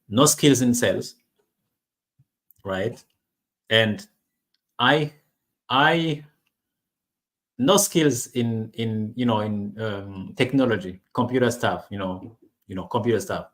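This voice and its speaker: A man's voice: medium pitch, slow pace, pauses often. A man from Rwanda, English not his first language, talking casually to camera with many restarts and fillers. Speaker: online business vlogger. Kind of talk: casual personal talk to camera.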